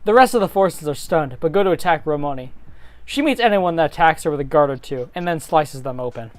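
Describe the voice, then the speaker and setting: A woman's voice: deep voice, lively intonation, brisk. A woman narrating a fantasy story informally, as an amateur reader would, over faint music. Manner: informal, breaking into giggly asides.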